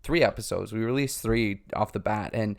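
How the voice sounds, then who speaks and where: A young man co-hosting a podcast in casual chat, upbeat and quick to agree and joke. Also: lowish voice, creaky. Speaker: podcast co-host.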